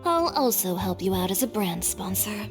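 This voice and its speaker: A woman's voice: medium pitch, big pitch swings, moderate pace, big-room sound, faint music behind. An actress playing a confident, frank young woman in a video game, breezy and ready to take charge. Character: confident, outspoken young female friend, breezy and brisk. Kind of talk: video game character acting.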